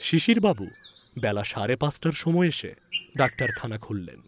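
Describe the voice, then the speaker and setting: A man's voice: medium pitch, very animated, normal speed, background noise. A man reading a scripted story aloud as a narrator, in a dramatic audiobook style.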